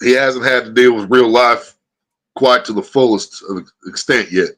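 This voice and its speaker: A man's voice: medium pitch, moderate pace, a bit bright, rough recording. A man hosting a livestream. He chats casually and tells rambling stories full of swearing. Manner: profane, rambling storyteller. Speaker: livestream host.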